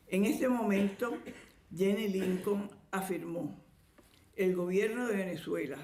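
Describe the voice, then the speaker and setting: A woman delivers a formal award speech in a measured, deliberate way. Her voice has a gravelly, creaky edge. The sound rings around a roomy hall. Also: fairly low pitch, a little mellow. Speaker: award presenter. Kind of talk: formal ceremony speech read aloud. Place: event hall.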